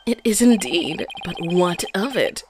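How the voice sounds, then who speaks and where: Casting: woman voicing a self-adoring witness, slow and haughty, lower tone. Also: sing-song, a little mellow, a little hiss or hum. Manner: drawling, haughty. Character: vain, pompous male witness.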